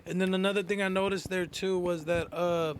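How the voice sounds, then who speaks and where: Higher-pitched man chatting casually on a podcast. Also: level tone, a little fast, crowd noise. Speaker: podcast co-host. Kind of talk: casual chat.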